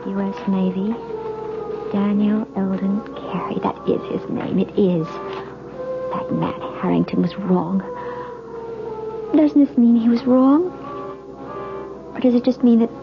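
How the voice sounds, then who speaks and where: An actress playing a frightened, distrustful married woman in an old-time radio mystery, speaking haltingly and anxiously. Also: medium pitch. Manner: halting, anxious, breathy murmurs to herself. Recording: dull old radio recording with music.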